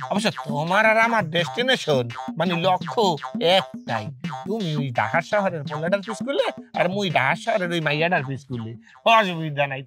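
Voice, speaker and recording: An actor playing a cheeky young village layabout, sing-song and drawling, in a comic television drama. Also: male voice, a little high, fairly bright tone.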